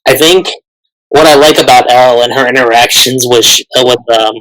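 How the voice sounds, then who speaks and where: A man's voice: medium pitch, fairly quick, fairly bright tone, rough recording. A man guest on a podcast, chatting casually and often stopping to restart his sentences.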